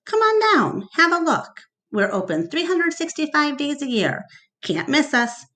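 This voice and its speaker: A woman's voice: sits high, big pitch swings, unhurried, a bit bright, voice pushed up, heavily acted. A woman voicing a blustering, demanding businessman in a storybook, talking in a brash, salesman-like way. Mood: eager, selling. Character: Mac, blustering, demanding man who runs a mall attraction. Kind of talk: storybook read-aloud character voice.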